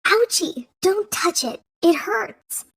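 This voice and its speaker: A woman's voice: high voice, big pitch swings, measured pace, a little mellow, heavily acted. A woman voicing a small, frightened girl who whines and pleads. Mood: wailing. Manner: whiny and pleading. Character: small scared girl in hospital.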